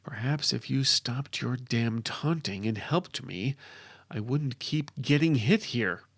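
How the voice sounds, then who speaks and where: A stubborn fantasy warrior, read by a male narrator, speaks with strained, defensive earnestness. The voice is mid-pitched with a gravelly edge, recorded close and dry. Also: lively intonation, slow pace, no room sound. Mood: irritated muttering. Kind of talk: dramatic fantasy audiobook character.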